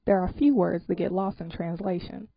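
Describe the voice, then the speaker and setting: A woman's voice: on the low side, vocal fry. Woman reading a sports feature voice-over. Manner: even, measured news read. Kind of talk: scripted voice-over narration. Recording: slightly dull, faint hiss.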